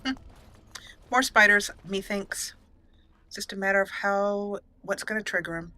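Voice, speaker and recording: A woman's voice: medium pitch, steady tone, measured pace, bright, forceful tone. A woman giving casual, chatty let's play commentary as a gaming host, playfully exclaiming and talking to the characters on screen.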